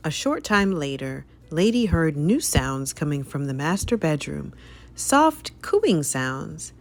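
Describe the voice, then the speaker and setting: A woman narrates a children's story in a low voice. Her reading is expressive and dramatic, swinging widely in pitch to mark each turn. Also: slow, soft background music. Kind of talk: children's read-aloud narration.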